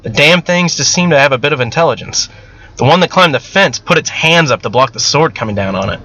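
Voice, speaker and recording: A man's voice: a little high, expressive, fairly quick, bright, forceful tone, low-quality recording. A man voicing an earnest, candid young medical student and survivor in an audiobook story, speaking a little hesitantly. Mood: uneasy, candid.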